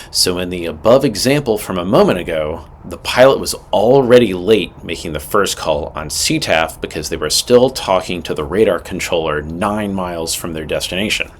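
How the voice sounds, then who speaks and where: A man's voice: low-pitched, a little hiss or hum. A man narrating a scripted training lesson as an instructor, in a direct, matter-of-fact way with emphatic points. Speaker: instructor presenting a pilot training video.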